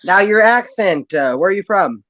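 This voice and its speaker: A man with a rural American accent and a somewhat high-pitched voice, recorded dry. He talks like an easygoing, folksy host in a casual interview, taking his time over each question. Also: expressive, moderate pace, fairly bright tone, no room sound. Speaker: show host.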